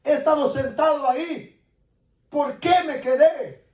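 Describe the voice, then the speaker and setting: A man's voice: very high-pitched, measured pace, a little mellow. A man preaching a sermon as dramatic personal testimony, repeating his phrases with insistent emphasis. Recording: echoey room, distant microphone.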